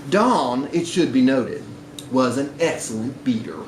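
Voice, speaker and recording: A man's voice: medium pitch, expressive, measured pace. A man reading a short story aloud expressively at a live event, indoors in a bar. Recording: roomy, noisy live bar recording.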